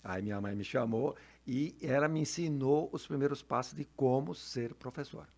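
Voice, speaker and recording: A man's voice: medium pitch, normal speed, gravelly, a little mellow. An older man, a veteran teacher telling stories in a radio studio with frequent tag questions and chuckles. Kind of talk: relaxed storytelling in a radio interview.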